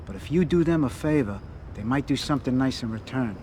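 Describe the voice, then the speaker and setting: A man's voice: mid-range voice, animated, a little fast, background noise, natural voice. A man playing a griping, streetwise mafia sidekick in a video game, casual and wisecracking. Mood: casual, advising. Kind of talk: video game cutscene acting.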